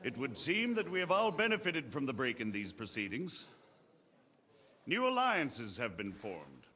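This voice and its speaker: Resolute medieval lord in a video game, stately speechmaker, slow and swooping. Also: male voice, medium pitch, wildly swooping pitch, pushed and bright, exaggerated voice. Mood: grave, resolute. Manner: stately, oratorical. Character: measured, resolute nobleman leading a war council. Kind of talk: dramatic scripted game acting.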